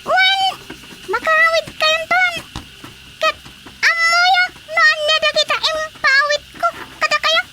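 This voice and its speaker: An actor voicing a tiny, bossy creature of an underground kingdom in radio comedy, with a squeaky, childlike voice and a commanding manner. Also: flat delivery, slow, long pauses. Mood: instructing. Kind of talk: radio comedy drama acting. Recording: loud noise behind the voice.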